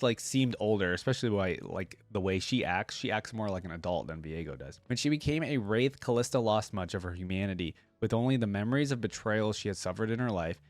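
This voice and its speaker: A man's voice: lowish voice, no echo. A man hosting a reaction video, reading a wiki aloud and adding casual asides in his own words.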